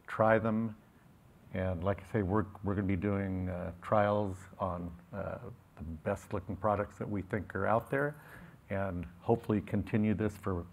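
A grower on a conference panel speaks in a folksy, hesitant way, full of fillers. His low voice has a gravelly fry. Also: slight background noise. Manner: hesitant, folksy, many ums.

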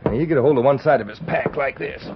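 An actor playing a scheming American frontier mining crook, folksy and conspiratorial, in an old radio western. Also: male voice, mid-range voice, quick pace, close to an everyday voice. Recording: muffled vintage radio broadcast, faint noise.

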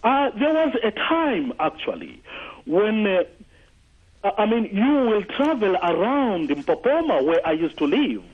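A man's voice: high-pitched, very animated, unhurried. A man from Zimbabwe calling into a radio talk show, arguing earnestly and stumbling through many fillers and restarts. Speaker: caller. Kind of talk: call-in radio commentary. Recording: tinny phone line on radio.

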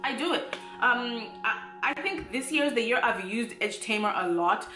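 A woman's voice: a little high, animated, moderate pace, sharp tone, roomy sound, faint music behind, rough recording. A young woman, giving a casual, upbeat hair tutorial in her bedroom, with playful asides. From Zimbabwe. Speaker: natural hair vlogger. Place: bedroom, indoors.

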